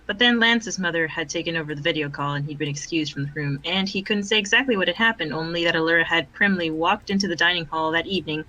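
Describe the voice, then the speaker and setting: A woman reading fan fiction aloud as the narrator in an expressive storytelling style, recorded on an informal online voice chat. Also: fairly low pitch, low-quality recording.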